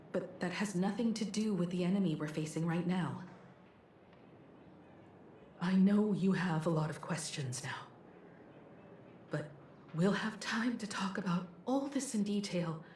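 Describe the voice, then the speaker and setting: A woman voicing a composed, world-weary investigator in a video game, speaking in a measured, grave, explanatory way. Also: lowish voice, a little mellow, reverberant, a little hiss or hum. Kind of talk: scripted video game voice acting.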